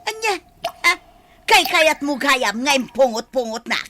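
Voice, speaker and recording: A woman's voice: sits high, sing-song, a little slow, sharp tone, slight background noise, heavily acted. A woman playing a gossipy village woman in an Ilocano comedy drama, whiny, exclamatory and protesting. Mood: indignant.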